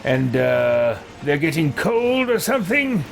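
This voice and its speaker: A man's voice: on the high side, big pitch swings, slow pace, gravelly. A man giving roleplay game commentary, thinking aloud with hesitant musing and haughty in-character remarks. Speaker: gaming roleplay video creator. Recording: game music behind the voice. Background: video game music.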